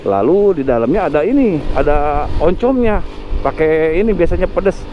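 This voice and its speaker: A man gives chatty, self-correcting commentary from his motorcycle, guiding viewers along the road. His voice sits high. It comes through a helmet camera mic with a slightly dull tone and faint traffic underneath. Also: expressive, normal speed. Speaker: motovlogger. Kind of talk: casual ride commentary. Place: riding a motorcycle on city streets outdoors. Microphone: helmet-mounted action camera mic.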